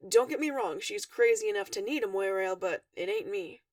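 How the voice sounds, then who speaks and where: A woman's voice: mid-range voice, animated, brisk, darkish tone, no room sound, clean studio sound, distant mic, voice pushed down, natural voice. A woman voicing a cool, slangy, nonchalant young male troll in a story read aloud. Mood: dry, dismissive.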